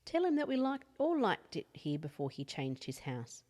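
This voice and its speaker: A woman's voice: on the low side, huge pitch swings, moderate pace, warm tone. Woman voicing emphatic, disapproving storybook neighbours. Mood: exasperated. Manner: emphatic, complaining. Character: disapproving, exasperated crowd of conformist street neighbours.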